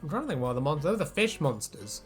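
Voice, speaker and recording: Fast-talking, sweary man chatting. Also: a little high, sing-song, soft background music. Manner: sweary, rambling. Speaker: video host. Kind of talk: casual fan discussion chat.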